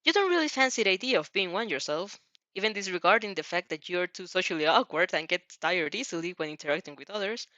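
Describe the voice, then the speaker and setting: A woman's voice: on the low side, animated, fast, bright, forceful tone, no room sound, highs cut off. A woman narrating fan fiction expressively as an amateur reader, sometimes stumbling over words.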